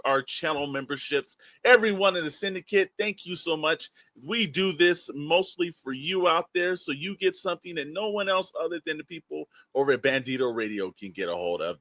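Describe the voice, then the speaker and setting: Bright, forceful male co-host. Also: on the high side, no room sound. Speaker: podcast co-host. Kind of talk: casual promotional chat to listeners.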